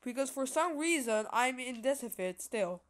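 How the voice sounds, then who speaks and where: Excitable high-voiced woman gamer. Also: normal speed. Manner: excitable, joking, stumbling over words. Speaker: gamer streaming with a friend. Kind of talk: casual gaming chat.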